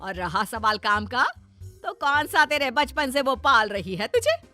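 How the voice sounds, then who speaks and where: Woman acting a high, animated, emphatic mother-in-law. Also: a little slow, full character voice. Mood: firm, indulgent. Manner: emphatic, scolding and coaxing. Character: middle-aged mother-in-law, bossy yet indulgent, wise to her son. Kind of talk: Hindi cartoon family-drama voice acting. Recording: music behind the voice.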